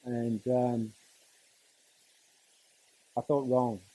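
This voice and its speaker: A man's voice: medium pitch, flat delivery, mellow, low-quality recording. Middle-aged man. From Newcastle, northern England. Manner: conversational, halting delivery with dry humour. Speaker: teacher and coach giving a speech to students. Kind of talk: personal motivational talk, storytelling.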